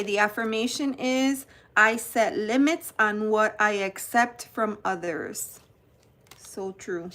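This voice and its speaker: Slow-talking woman chatting to live viewers. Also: medium pitch, fairly bright tone. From New Jersey, United States. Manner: chatty, greets viewers by name while showing cards; slow, unhurried delivery. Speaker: tarot channel host. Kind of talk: live-stream unboxing chat.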